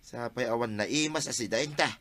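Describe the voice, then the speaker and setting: A man's voice: medium pitch, fairly quick, background noise, lightly acted. A man with an Ilocano accent acting a broke, scheming village husband who wheedles for loans in a Filipino radio comedy drama. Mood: grumbling.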